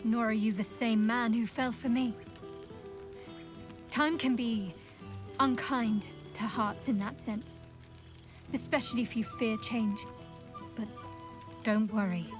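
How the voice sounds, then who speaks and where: A woman playing a devout, commanding young dark priestess in a video game, speaking in a measured, formal, wry and intimate way. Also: a little high, steady tone. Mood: coy, intimate. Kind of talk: video game character dialogue. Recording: game dialogue over background music. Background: music.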